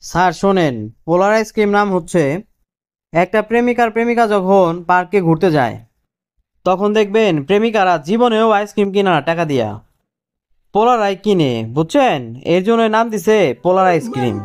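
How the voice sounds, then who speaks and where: A man voicing a cheeky, quick-witted cartoon job candidate who explains things deferentially in colloquial Dhaka-accented Bangladeshi Bengali. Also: sits high, clear, resonant tone, natural voice. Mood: confidently explaining. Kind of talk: comic cartoon dialogue.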